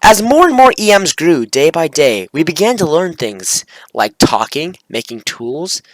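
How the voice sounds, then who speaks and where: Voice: mid-range voice, big pitch swings, unhurried, no echo, low-quality recording. A video creator reading their own script aloud, stumbling and correcting themselves, with casual slangy asides. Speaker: video creator and amateur visual novel maker.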